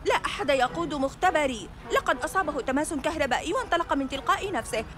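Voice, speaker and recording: Cartoon villain: a boastful boy mad scientist, very high pitched. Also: lively intonation, fast. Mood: gloating. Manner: gloating, boastful. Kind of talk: cartoon dub acting.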